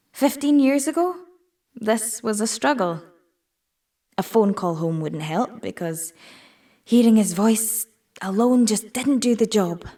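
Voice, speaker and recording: A persuasive tech businesswoman delivers a scripted pitch in an audio play. Her pace is slow and measured, at a middling pitch, and she sounds set back from the microphone. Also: a little mellow, distant mic. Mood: personal, persuasive. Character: married tech-industry woman pitching virtual reality to an audience. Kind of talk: scripted audio drama monologue, public presentation.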